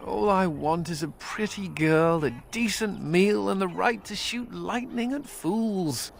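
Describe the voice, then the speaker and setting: An actor playing a sardonic escaped mage in a video game, glib and self-amused with a bitter edge. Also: male voice, sits high, slow pace, gravelly, a little hiss or hum, exaggerated voice. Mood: wistful, flippant. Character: wry male mage and escaped prisoner, playful yet bitter. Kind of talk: video game character dialogue.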